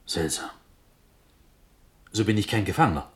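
Impassioned husky young prince. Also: male voice, lowish voice, lively intonation, moderate pace, a bit bright. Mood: resigned. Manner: impassioned declamation swinging from whispers to outcries. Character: young Prussian prince and cavalry general, impetuous, anguished, condemned. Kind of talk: classical verse radio drama acting.

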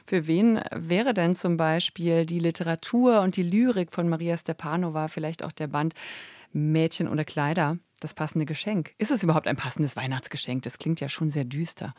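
A woman's voice: low voice, normal speed, darkish tone, no room sound. Woman radio culture host, dry studio sound. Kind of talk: radio culture programme presenting and interviewing.

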